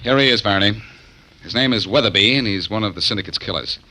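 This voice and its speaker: A man's voice: on the low side, fast talker, over loud music, lightly acted, poor audio. An American man playing a cocky, wisecracking tough detective in a 1940s radio drama. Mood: confident, needling. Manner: wisecracking, self-assured. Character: cocky, quick-witted tough amateur detective hero. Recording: vintage 1940s radio recording.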